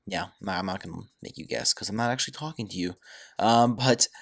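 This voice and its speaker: A man's voice: medium pitch, a bit bright. A man casually narrating his Minecraft gameplay, rambling with lots of fillers and friendly asides to viewers. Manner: rambling, filler-heavy, enthusiastic. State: sick, nasal and hoarse. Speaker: Minecraft YouTuber.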